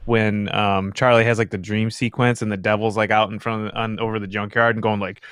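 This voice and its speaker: A man, around his thirties, talking casually as a podcast panelist in a halting, rambling way. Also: on the low side, flat delivery, a bit bright, clear, resonant tone, no room sound, clean studio sound. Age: millennial, around 30s. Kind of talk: casual group chat.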